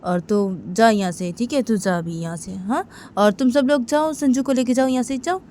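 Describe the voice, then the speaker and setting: A woman's voice: mid-range voice, moderate pace, darkish tone, slight background noise, natural voice. A woman voicing an agitated older father who scolds his son and daughter-in-law with insistent, repeated pleas and questions. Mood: firm pleading.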